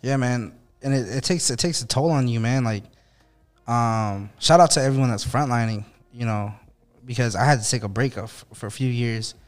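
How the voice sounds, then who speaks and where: A man talking as a podcast guest, relaxed and rambling, with lots of fillers and restarts. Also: medium pitch, moderate pace, faint music behind. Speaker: podcast guest, musician and activist. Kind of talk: casual podcast chat. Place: podcast studio in Los Angeles.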